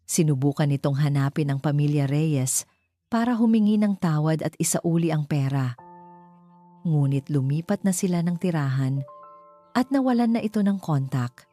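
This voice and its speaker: A woman's voice: low voice, lively intonation, moderate pace, warm tone, dry recording. A woman narrating a scripted dramatic love story, reading as a storyteller. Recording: music bed under the voice. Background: background music.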